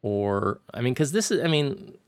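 Gravelly man reviewing a film, dry sound. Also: medium pitch, big pitch swings, dry recording. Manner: halting, stammering restarts. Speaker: film podcast co-host. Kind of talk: casual film review chat.

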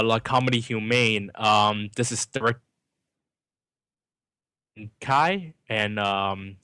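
Bright-voiced man reviewing films, hesitant. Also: lowish voice, no room sound. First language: Cantonese. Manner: hesitant, many ums and restarts. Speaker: film review podcast host. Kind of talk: casual film review chat.

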